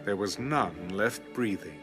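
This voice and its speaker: A man narrating scripture in a solemn, deliberate, scripted dramatic storytelling style. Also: lowish voice, lively intonation. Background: music.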